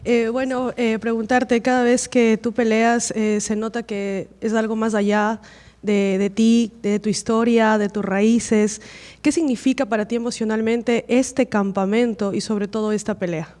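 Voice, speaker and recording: A woman's voice: on the high side, little pitch movement, a little slow, a little mellow. A woman reporter asking courteous questions, with frequent fillers. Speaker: Spanish-language reporter. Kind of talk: interview questions. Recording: echoey hall.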